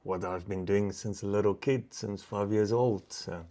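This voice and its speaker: A man with a South African accent talking casually in an interview as a professional hunter, in plain, slightly halting English. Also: on the low side, steady tone, warm tone. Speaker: professional hunter, safari outfitter.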